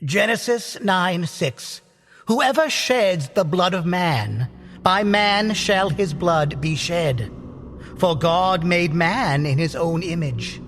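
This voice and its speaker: Slow, echoing, solemn older priest played by an actor. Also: mid-range voice, lively intonation, a bit bright, heavily acted. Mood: grave, menacing. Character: older priest, solemn, menacing zealot offering last rites. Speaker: actor in an audio drama. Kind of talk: audio drama acting. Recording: long church-like reverb.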